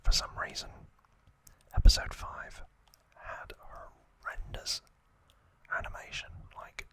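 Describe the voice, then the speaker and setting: A man hosting ASMR, giving a trivia recap in a soft, breathy, unvoiced whisper with halting, informal asides. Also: low-quality recording. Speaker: ASMR host.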